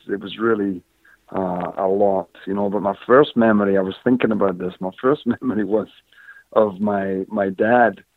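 A man's voice: fairly low pitch, no echo. A man from Scotland, raised in northern England, recalling his football youth as an interview guest, talking hesitantly with many fillers and restarts. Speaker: guest, former football player. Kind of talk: casual interview, storytelling. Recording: dry phone-line audio.

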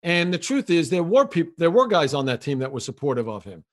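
A man hosting a podcast, eagerly firing quick questions back and forth with his guest. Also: a little high, very animated. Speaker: podcast host. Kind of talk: podcast conversation.

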